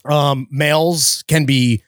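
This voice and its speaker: A man's voice: fairly high pitch, steady tone, takes it slowly, no room sound, clean studio sound. A man co-hosting a podcast, laid-back and slangy, laughing often. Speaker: podcast co-host. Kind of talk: casual podcast banter.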